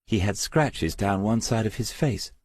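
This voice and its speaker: A man's voice: fairly low pitch, expressive, unhurried, dry recording, studio quality, voice pushed up. A man voicing a resolute, bitter young mother in an audiobook, frank and plain-spoken. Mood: grave, resentful. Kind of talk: audiobook character dialogue.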